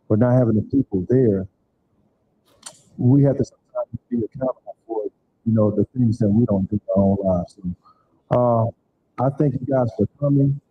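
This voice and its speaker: A man's voice: medium pitch, lo-fi sound, a little hiss or hum. A man giving loose, repetitive closing remarks as organizer of a community event in a church hall.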